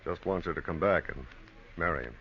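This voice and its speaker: Very deep-voiced radio private detective. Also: male voice, a little fast. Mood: tactful, probing. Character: low-key private detective, tactful, kindly man. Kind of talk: 1940s radio drama acting. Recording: muffled, noisy old radio recording.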